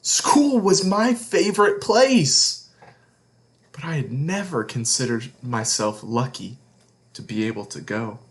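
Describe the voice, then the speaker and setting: A man reading a picture book aloud to children as a read-along host, with deliberate, emphatic phrasing. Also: mid-range voice. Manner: deliberate phrasing, emphatic, explains words to young listeners.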